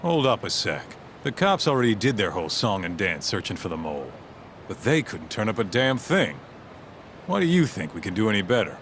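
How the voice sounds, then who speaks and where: An actor playing a streetwise, grumbling detective's sidekick in a video game, skeptical and slangy. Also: male voice, lowish voice, big pitch swings, fairly quick, a bit bright, slight background noise. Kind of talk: English video game voice acting.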